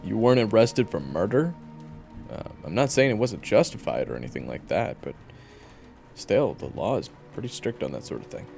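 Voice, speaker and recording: A man playing a gruff, wry monster hunter with a blunt, sarcastic manner in an audio drama. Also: fairly low pitch, sing-song, moderate pace, a little mellow. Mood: questioning. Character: gruff, wry, impatient male monster hunter named Raven. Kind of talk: audio roleplay character acting. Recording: echoey hall reverb, music behind.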